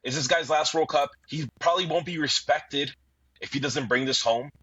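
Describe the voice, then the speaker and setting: A man from Brazil hosts a football podcast, pausing often with fillers as he puts questions to his co-hosts. His voice is bright and forward, with a raspy grain. The dull, lo-fi mic keeps the sound dry and close. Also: a little high. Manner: hesitant, many fillers, steers the talk with questions. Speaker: podcast host. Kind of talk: casual football discussion.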